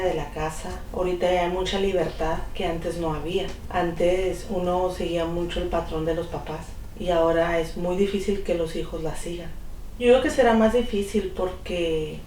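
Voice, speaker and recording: A woman in her thirties being interviewed, speaking in an earnest, measured way. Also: lowish voice, darkish tone, reverberant, distant mic, slight background noise, poor audio. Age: 30s. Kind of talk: documentary interview.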